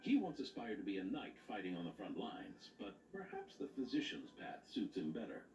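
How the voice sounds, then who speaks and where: An actor playing a seasoned, genial knight in a video game, speaking in formal, dutiful phrases. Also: male voice, fairly low pitch, huge pitch swings, fairly quick, gravelly, a little mellow, full character voice. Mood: reflective. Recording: roomy distant game audio with noise.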